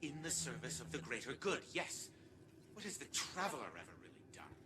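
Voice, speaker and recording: An arrogant old genius villain in a game cutscene, acted by a man. He is pompous and self-justifying, his pitch swinging dramatically over clearly audible background effects. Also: very animated, brisk, noisy background, close to an everyday voice. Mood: condescending, scornful. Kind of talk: video game cutscene acting. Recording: loud ambient effects behind voice.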